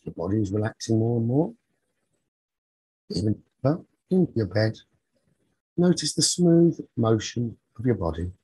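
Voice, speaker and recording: A man leading a guided sleep meditation as a teacher, soothing and husky, speaking in short phrases with long pauses. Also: on the low side, sing-song, dark tone, dry recording, poor audio.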